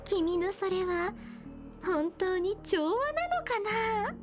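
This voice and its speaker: High, teasing trickster girl. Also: fairly even intonation, normal speed. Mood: sly teasing. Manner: playful, taunting, singsong. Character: mischievous, teasing young female trickster in a mask. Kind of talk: Japanese anime-style game acting. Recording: game music under the voice.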